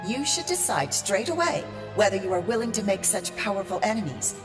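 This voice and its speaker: A woman's voice: medium pitch, sing-song, a little fast, close to an everyday voice. An actress playing a cold, sardonic, seductive female antihero in an audio drama. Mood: cool, calculating. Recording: long reverb, prominent music underneath.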